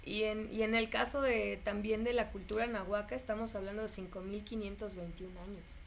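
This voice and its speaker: A woman's voice: medium pitch, fairly even intonation, moderate pace, vocal fry, a little hiss or hum. A woman hosting a conversation, speaking reflectively and repeating words as she gathers her thoughts. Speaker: host.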